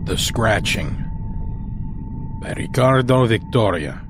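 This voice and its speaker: A man narrates as the host of a horror podcast, dramatic and deliberate. His pace is slow and his pitch moves expressively, with clear music playing behind him. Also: animated. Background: music score.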